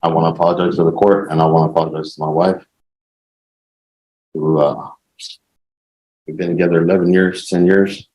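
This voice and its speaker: Defendant, man: low pitch, flat intonation, subdued and deferential plea to the court. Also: brisk, long pauses, rough recording. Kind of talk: plea to a judge.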